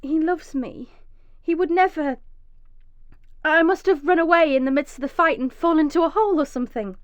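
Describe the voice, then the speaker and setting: An actress playing a frightened, injured young mother in a radio drama, emotional and swinging from hushed dread to pleading. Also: high-pitched, a little fast, no room sound, close to an everyday voice. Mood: panicked disbelief. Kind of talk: radio drama monologue with acted dialogue.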